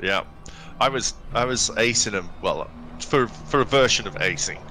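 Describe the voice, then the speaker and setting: A man's voice: on the low side, moderate pace, sharp tone. A man chattering casually as he plays along in a group game stream. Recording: slightly noisy gaming voice chat.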